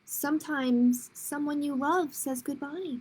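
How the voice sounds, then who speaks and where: A woman's voice: sits high, slow, a little mellow, a little hiss or hum. A woman hosting a children's storytime, reading picture books aloud in simple phrases with casual asides to her listeners. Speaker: children's librarian, storytime host.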